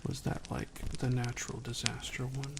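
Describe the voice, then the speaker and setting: An ASMR streamer chatting casually to viewers, soft-spoken and drifting into whispers, close to the microphone. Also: mid-range voice, level tone, moderate pace, gravelly, very noisy.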